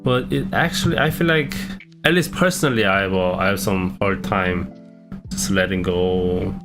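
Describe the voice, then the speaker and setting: A young man with a non-native English accent and a low-pitched voice, talking about his streaming in a hesitant, self-deprecating way with many restarts. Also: normal speed. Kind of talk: casual reflective vlog. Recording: background guitar music.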